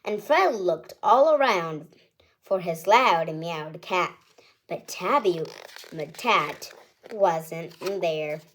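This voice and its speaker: A woman's voice: on the low side, animated, slow pace, a bit bright, low-quality recording. A woman hosting her own channel, reading a picture book aloud to children in a cheerful, chatty, singsong storytelling way.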